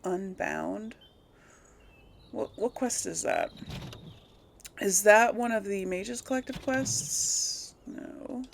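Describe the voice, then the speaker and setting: A woman's voice: medium pitch, slow pace, creaky, pauses often. Woman rambling through game commentary, background noise. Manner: rambling, thinking aloud. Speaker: let's play host. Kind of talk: casual gameplay commentary.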